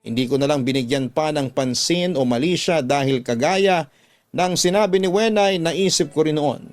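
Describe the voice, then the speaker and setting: A man's voice: fairly high pitch, normal speed. A man reading a first-person story as a scripted radio drama narration. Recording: background music under the voice.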